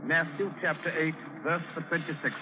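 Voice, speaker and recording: An actor playing a pious, scripture-quoting prisoner with a solemn, preacherly manner in old radio drama. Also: male voice, on the high side, fairly even intonation, normal speed, a crowd in the background, close to an everyday voice. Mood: reverent. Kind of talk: 1930s-40s radio drama acting. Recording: noisy, tinny old radio recording.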